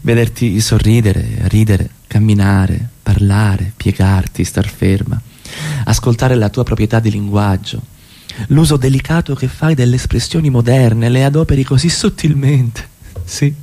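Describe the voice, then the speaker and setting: Jealous husband, middle-class, formal pompous wording, biting sarcasm, cold menace, mid-pitched, radio theatre. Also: male voice, unhurried, mellow. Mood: smug admiration.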